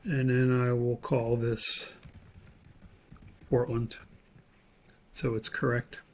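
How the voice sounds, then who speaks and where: A man explaining things informally as an instructor in an unscripted screen-share tutorial, pausing often as he goes. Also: mid-range voice, flat delivery. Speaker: course instructor. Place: indoors, voice-over for screen recording.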